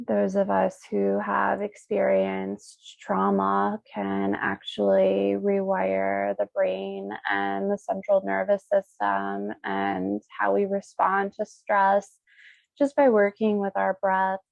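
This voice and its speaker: A woman leading a guided meditation as a Reiki practitioner, speaking soothingly in short, unhurried phrases with pauses. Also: mid-range voice, steady tone, creaky, no echo, highs cut off.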